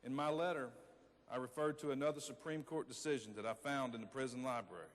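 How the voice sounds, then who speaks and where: A man's voice: mid-range voice, quick pace, lightly acted. Man playing wry plain-spoken convict, reverberant hall. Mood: proud, wry. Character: Clarence Gideon, plain-spoken, wry male Florida convict petitioner. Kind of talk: readers theater monologue, reading aloud and addressing audience.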